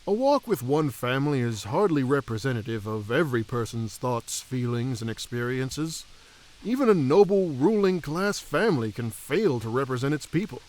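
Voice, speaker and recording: A man playing a humble, troubled elf king and father in a fantasy audio drama, reflective and gently formal. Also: medium pitch, sing-song, normal speed, lightly acted, poor audio.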